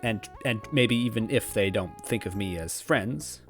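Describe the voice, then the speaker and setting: A man's voice: fairly low pitch, measured pace. A man playing an anxious, self-doubting young man who narrates his own story in a hesitant, wavering way. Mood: anxious, brooding. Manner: hesitant, wavering, second-guessing. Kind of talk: first-person storybook narration. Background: background music.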